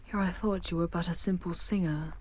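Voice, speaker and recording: A woman's voice: on the low side, steady tone, fairly quick, husky. A woman voicing a proud, sardonic, protective female demon in an ASMR audio drama, speaking with haughty command and dropping into whispers. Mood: solemn, soothing.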